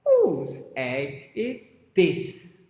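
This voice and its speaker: A woman voicing an anxious, polite mother hen in a children's story, clucking repetitively and asking slow questions. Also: deep voice, huge pitch swings, dark tone, exaggerated voice. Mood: clucking, searching. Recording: echoey hall with long reverb.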